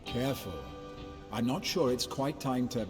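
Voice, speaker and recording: An actor playing a wry, cautious informant and mentor in a video game, with a knowing, cautioning manner. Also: male voice, mid-range voice, a little slow, music in the background, lightly acted. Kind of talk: video game cutscene acting.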